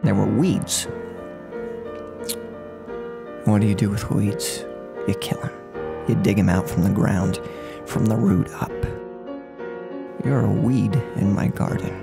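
A man in his twenties playing a soft-spoken, unhinged serial killer in a menacing, mock-polite ASMR role play, close to the microphone. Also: on the low side, steady tone, unhurried, creaky, over music. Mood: quiet menace. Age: 20s. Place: room at home. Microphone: close desk microphone on boom arm.

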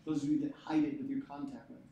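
A man in his forties teaching a physics class, lively and joking, repeating his key points. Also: medium pitch, quick pace, lo-fi sound. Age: 40s. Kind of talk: classroom lecture. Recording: echoey room, mic far off.

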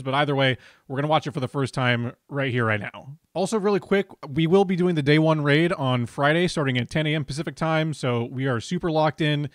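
A man's voice: on the high side, no room sound. A young man chatting casually as a game streamer, reacting live from a bedroom on a close microphone. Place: bedroom, indoors. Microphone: close desk microphone with headset.

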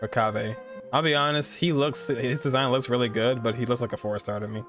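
Young guy streamer, casual slangy reactions, mid pitch, faint game music behind. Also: fairly even intonation, a little fast, fairly bright tone. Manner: informal, slangy. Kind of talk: casual live reaction commentary. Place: indoor streaming setup, game audio behind.

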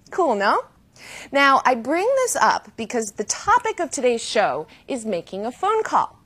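Lively woman teaching, fairly high voice. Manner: didactic, slows down to model key phrases. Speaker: host and language teacher. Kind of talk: scripted educational presenting.

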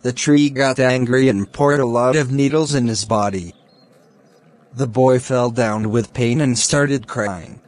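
Slow-talking, kind young cartoon man acted by a man. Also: mid-range voice, fairly even intonation, a little mellow, lightly acted. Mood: earnest storytelling. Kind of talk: slow, simple scripted storytelling for children.

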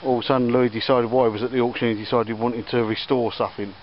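A man's voice: mid-range voice, level tone, rapid-fire. A man casually chatting while showing his auction finds, rambling with lots of ums and restarts as he films outdoors. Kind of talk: casual chat. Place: outdoors in a garden and workshop. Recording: slightly dull camera audio with faint background noise. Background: faint outdoor ambience and church bells.

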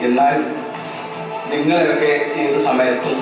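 Very echoey distant hall, man as earnest puppet doctor, higher-pitched, Kerala accent, emphatic exhorting puppet-show delivery. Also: fairly even intonation, measured pace, music in the background, natural voice. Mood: earnest, appealing. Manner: emphatic, exhortative, rhetorical questions. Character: earnest puppet doctor urging public to stay careful. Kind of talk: scripted puppet show health-awareness monologue.

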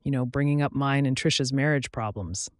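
A man's voice: mid-range voice, fairly quick, dry recording, clean studio sound, close to an everyday voice. A man voicing an agreeable, apologetic married friend in a story.

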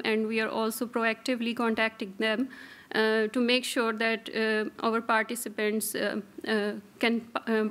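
Woman, 30s, podium talk, hesitant and flat, slightly high. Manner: careful, hesitant delivery with many fillers. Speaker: registry coordinator presenting. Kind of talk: conference presentation. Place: conference hall, at a podium. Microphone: podium microphone.

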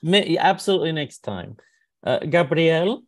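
A man's voice: fairly high pitch, expressive, normal speed, no room sound, clean studio sound. A middle-aged man hosting casually and chattily on a video call from a room indoors. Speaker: host of an online singing competition. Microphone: computer mic on a video call.

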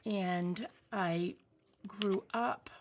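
A woman's voice: mid-range voice, drawn-out words, a little hiss or hum. A woman in her forties from New England, chatting casually with many ums while crafting at home under an overhead camera. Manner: rambling, warm asides with many ums. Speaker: craft vlogger. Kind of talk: casual craft-along chat. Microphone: overhead camera, hands only.

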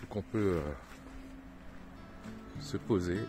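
A man's voice: sits low, steady tone, slow, music in the background. A middle-aged man giving casual, chatty, appreciative commentary as he films a handheld vlog. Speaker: camper van vlogger. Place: outdoors, washrooms and inside a camper van.

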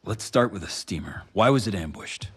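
Low-voiced young gunslinger, terse. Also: male voice, animated, normal speed, slightly rough. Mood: cold, menacing. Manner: terse, menacing, resolute. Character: gritty young gunslinger hero, terse and defiant. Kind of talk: Western video game voice acting.

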